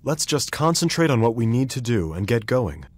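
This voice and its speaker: A man voicing a calm, polite adult man in a video game, measured and courteous. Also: medium pitch. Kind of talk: video game cutscene voice acting.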